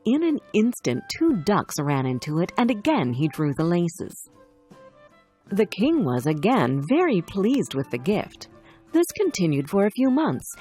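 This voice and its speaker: A woman narrates a children's tale from a script. Her voice is somewhat low and husky, with highly varied intonation. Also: darkish tone. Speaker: storyteller. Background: faint music.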